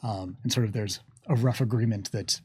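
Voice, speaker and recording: A man's voice: fairly low pitch, fairly even intonation, moderate pace, a little mellow, breathy edge, no room sound. A man hosting a livestream, chatting casually through viewer questions in a wry, musing way with playful asides. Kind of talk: casual game lore Q&A chat.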